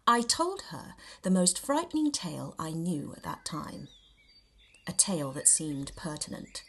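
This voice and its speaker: A woman reading a novel extract aloud in an expressive, theatrical and measured storytelling style. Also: lowish voice, slightly rough. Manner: theatrical, measured storytelling with dramatic emphasis and hushed dips. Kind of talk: expressive scripted audiobook narration.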